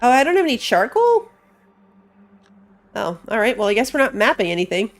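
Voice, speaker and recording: A woman's voice: a little high, sing-song, fairly quick, faint music behind. Chatty woman streaming a game. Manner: chatty, thinking aloud. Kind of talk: casual live gameplay commentary.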